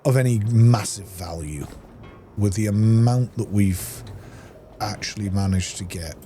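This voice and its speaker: A man's voice: fairly low pitch, a little slow, mellow, faint music behind. A man giving casual commentary as he plays a video game, half talking to himself. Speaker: gameplay streamer. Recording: clearly noisy background.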